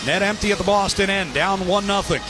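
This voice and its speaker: A high-voiced man works as a radio play-by-play announcer. He calls live hockey in clipped phrases, with clear background sound on the broadcast. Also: a little fast, music in the background. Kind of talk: live ice hockey commentary.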